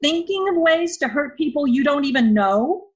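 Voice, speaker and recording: A woman's voice: high voice, lively intonation, moderate pace, dull audio. An actress playing a blunt, self-doubting older woman on a date in a romantic comedy audio play, clipped and accusing. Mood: wounded, indignant.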